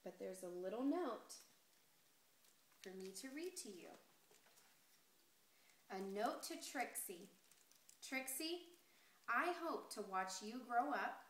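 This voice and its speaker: A woman reading a picture book aloud expressively and deliberately, putting questions to young listeners. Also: mid-range voice, fairly bright tone. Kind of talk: expressive children's story read-aloud. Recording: roomy, echoey sound.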